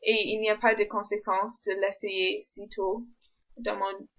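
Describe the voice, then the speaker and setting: A young woman whose first language is English chats in a casual vlog. She talks slowly and hesitantly, often pausing on fillers while reaching for the right word. The recording sounds a little dull and lo-fi. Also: mid-range voice, little pitch movement, fairly bright tone, a little hiss or hum. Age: young adult. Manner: hesitant, frequent "euh" fillers, searches for words. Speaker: university student vlogger.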